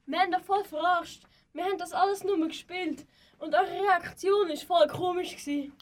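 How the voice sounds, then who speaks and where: An excitable schoolgirl played by a young female performer speaks in an amateur radio play. Her pitch is very high and her accent is Swiss German. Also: moderate pace. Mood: mocking. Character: excitable schoolchild among friends.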